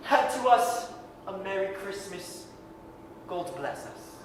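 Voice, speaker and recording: A performer plays a cheerful, affectionate Victorian father in a radio-style drama, grandly toasting and soothing the family. The voice sits back from the mic in a booming, echo-filled hall. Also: lively intonation, slow pace. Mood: gently chiding. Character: jovial, doting Victorian father, humble clerk Bob Cratchit. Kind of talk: 1930s radio-style staged reading. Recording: echoey hall, distant mic, faint noise.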